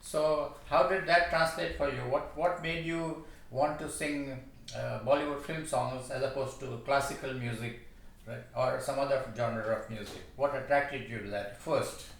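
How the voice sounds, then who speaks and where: A man hosting an interview, speaking haltingly with many "uh" pauses. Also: mid-range voice, steady tone, gravelly, a bit bright. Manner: halting, many "uh" fillers and restarts. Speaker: host. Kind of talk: interview host chat. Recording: echoey room, distant mic, faint hiss.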